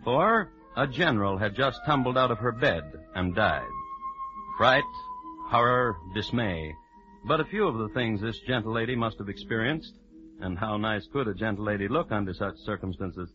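Wry low-voiced man narrating vintage radio drama. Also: animated, normal speed. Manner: wry, ornate, arch. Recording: dull vintage radio recording with music.

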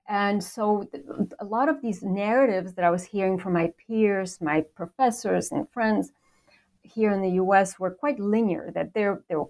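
Polish-born professor lady, 60s, lowish voice, lots of ums and restarts. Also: a little mellow. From Poland. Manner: thoughtful, hesitant, many fillers and restarts. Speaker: professor, interview guest. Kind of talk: academic interview.

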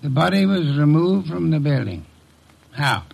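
An actor playing a haughty, erudite middle-aged detective boss in an old radio drama, pompous and curt, with sardonic, precise speech. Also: male voice, on the high side, sing-song, measured pace, vocal fry, darkish tone, exaggerated voice. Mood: indignant, brisk. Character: gruff, haughty, erudite male detective boss, orchid lover.

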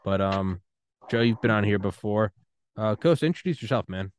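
A man's voice: lowish voice, expressive, moderate pace, slight background noise. A man hosting a podcast interview, talking in a crude, joking way. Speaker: podcast host.

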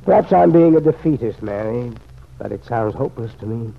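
An actor playing a grave, regretful man in an old-time radio serial, speaking in an earnest, measured way. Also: mid-range voice, expressive, creaky. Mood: discouraged. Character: grave, regretful man, troubled family friend. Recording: muffled, noisy old radio recording.

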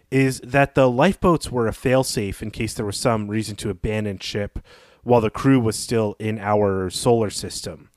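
A man co-hosting a podcast, explaining his reading of a story in a measured, conversational way. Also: on the low side, no echo, clean studio sound. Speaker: podcast host. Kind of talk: conversational literary commentary.

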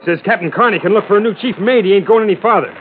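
A man playing a rough, rattled sailor in a 1940s radio drama, blunt and slangy but deferential to his captain. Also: high-pitched, very fast, close to an everyday voice. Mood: agitated. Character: rattled, rough working-class male sailor reporting to captain. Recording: tinny, faintly noisy old radio recording.

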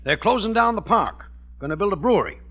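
A man's voice: high voice, big pitch swings, quick pace, heavy background noise. An actor playing a blunt, cagey American park workman in an old-time radio comedy. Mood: blunt, matter-of-fact. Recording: muffled vintage radio recording.

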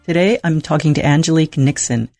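Podcast host woman, dry studio sound, measured introductions. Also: deep voice, warm tone, dry recording. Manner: measured, slightly hesitant when asking. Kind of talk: scripted introduction and interview questions.

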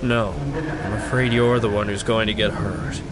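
A man's voice: lowish voice, steady tone, normal speed, very noisy, natural voice. A man playing a noble, earnest superhero who is reluctant to fight, in a resolute audio drama performance. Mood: defiant.

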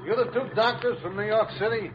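An actor playing a cocky, mocking hired thug who bosses people around in an old-time radio drama. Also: male voice, sits high, a little fast, lightly acted. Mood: menacing, smug. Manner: mocking, wisecracking, bossy, chuckling. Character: cocky, sneering hired gunman, taunting thug. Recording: muffled, faintly noisy old radio recording.

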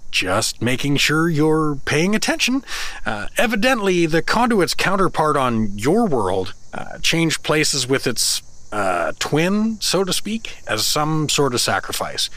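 A man's voice: on the high side, very animated, slow pace, fairly bright tone, no room sound, exaggerated voice. A man playing an affable, flustered suburban husband stranded in a fantasy world, talking in a casual, jokey, hesitant way in a comedic audio drama. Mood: explaining, flustered.

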